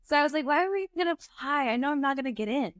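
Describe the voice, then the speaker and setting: A woman's voice: high-pitched, very fast, no room sound. Brief: woman in her twenties from Venezuela, guest, chatty, storytelling, dry close recording. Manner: animated storyteller, fillers like "like" and "um". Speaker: startup co-founder, guest. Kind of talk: casual interview talk.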